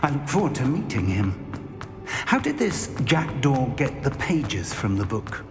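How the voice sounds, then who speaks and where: A wry older professor and mentor in a fantasy game. He speaks unhurriedly with very lively, swooping intonation, over clearly audible music. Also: medium pitch, unhurried. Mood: curious, wondering. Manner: animated, wry, wondering. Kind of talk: scripted game cutscene acting. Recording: game audio under prominent music.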